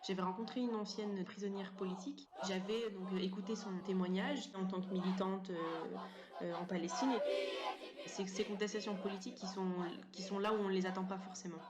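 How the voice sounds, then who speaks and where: A woman in her thirties from France explains things informally as an interview guest, halting often and repeating words. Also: medium pitch, fairly even intonation, crowd noise. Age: 30s. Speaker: interview guest, sociology researcher. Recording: roomy echo, background noise.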